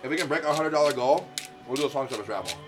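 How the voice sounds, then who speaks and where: A young man co-hosting a casual stream, rambling and excitable with plenty of slang. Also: mid-range voice, racing. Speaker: co-host of a streamed cooking show. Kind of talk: casual chat. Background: music playing.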